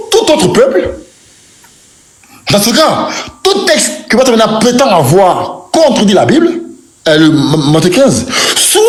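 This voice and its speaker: A man arguing combatively in a live online debate, mocking his opponent and refusing to yield the floor. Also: high-pitched. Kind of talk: heated live-stream debate. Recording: echoey room.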